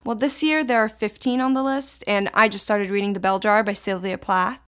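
Teen girl from israel, hebrew's her first language, smooth clear voice, chatty long answers. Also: medium pitch, fairly even intonation, normal speed. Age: teens. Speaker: interviewee. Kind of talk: casual interview answers.

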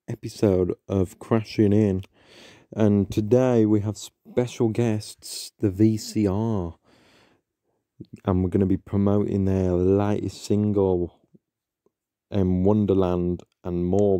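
A man's voice: lowish voice, dark tone, dry recording, clean studio sound. A young man hosting a podcast, chatting casually and hesitantly with many ums and restarts. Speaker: podcast host. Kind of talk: casual solo intro chat.

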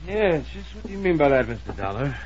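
Indignant young man, swooping pitch. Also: very animated, quick pace, a little mellow. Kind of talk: old-time radio drama acting. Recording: muffled old radio recording.

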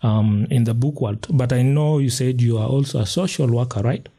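A man hosts a podcast interview in a small studio, and his voice is very dark and husky. He keeps the talk moving with frequent short acknowledgements. He speaks into a close studio mic. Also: medium pitch, a little fast. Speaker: podcast host. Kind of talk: casual interview. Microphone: studio mic on boom arm.

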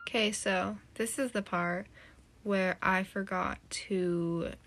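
A woman's voice: medium pitch, steady tone, slow pace, a bit bright, faint music behind. A teenage girl chatting casually to her phone camera in her bedroom, rambling with lots of "like" fillers. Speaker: vlogger. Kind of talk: casual day-in-the-life vlog. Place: small bedroom and home, indoors. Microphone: phone camera held close.

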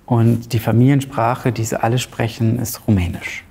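A man's voice: medium pitch, steady tone, vocal fry, warm tone, a little hiss or hum. A man in his thirties from Romania, a playwright answering interview questions hesitantly with frequent fillers, in a large ornate hall on a lapel mic. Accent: Zipser German dialect. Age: 30s. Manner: hesitant, pauses often with fillers.

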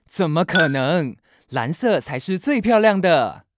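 A man's voice: on the high side, very animated, a little slow. Swooping, boastful father shark, Taiwanese Mandarin. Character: confident, stubborn blue father shark. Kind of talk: children's cartoon dialogue. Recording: music behind.

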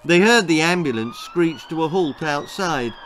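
Expressive older male storyteller, very animated. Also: on the high side, normal speed, background noise. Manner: expressive, theatrical. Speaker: author reading his own story. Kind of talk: dramatic children's story narration. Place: small book-lined room indoors.

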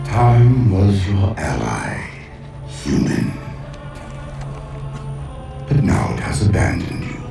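A towering, contemptuous alien overlord from a video game, his voice very low and gravelly. He speaks slowly and scornfully, each word a grand pronouncement. The voice sounds distant and cavernous, ringing over a loud orchestral score. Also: sing-song, music in the background, heavily acted. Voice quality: deep gravelly menacing rasp. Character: ancient vengeful alien warlord, male, towering and contemptuous. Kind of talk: video game cutscene acting.

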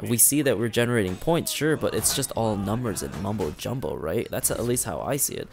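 A young man talking loosely over gameplay, his low voice worn raspy and gravelly from a long session. He rambles in an easygoing way, full of fillers and restarts, with faint music underneath. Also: lively intonation, a little fast. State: raspy, strained voice. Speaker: gaming commentator. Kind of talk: casual commentary over gameplay. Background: faint background music.

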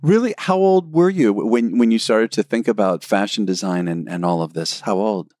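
A man's voice: fairly low pitch, a little mellow, no echo, clean studio sound. Enthusiastic male podcast host. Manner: enthusiastic, quick to react with "wow". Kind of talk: interview conversation.

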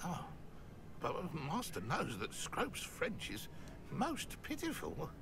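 A man voicing a timid, servile male house-elf who stammers and speaks of himself in the third person, with a gravelly edge. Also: fairly high pitch, huge pitch swings, slow, noisy background, heavily acted.

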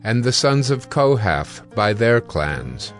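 A man reads scripture as the narrator of an audio drama, with a mid-pitched voice. His delivery is measured and formal, and music plays behind him. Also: very animated. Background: background music.

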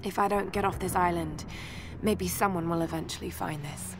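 An actress with a British accent playing a young shipwrecked archaeologist, anxious but determined, in a hesitant, introspective video game journal. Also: female voice, on the low side, steady tone, moderate pace, loud music behind the voice, natural voice. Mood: uneasy, awed.

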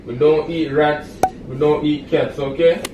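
A young man vlogging a family prank at home, calmly reassuring a child with short repeated phrases. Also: a little high, unhurried, distant mic, phone-quality sound. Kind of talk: casual family prank vlog. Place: small apartment kitchen and living room. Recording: echoey room with background noise.

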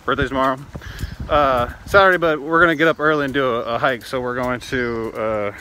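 A man's voice: medium pitch, fairly even intonation, brisk, bright, forceful tone, a little hiss or hum. Rambling, swearing man on a close phone mic. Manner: rambling, swears often, many fillers. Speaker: vlogger. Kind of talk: casual vlog chat. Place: indoors at home, then outdoors on city streets and a trail. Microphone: phone camera held close at arm's length.

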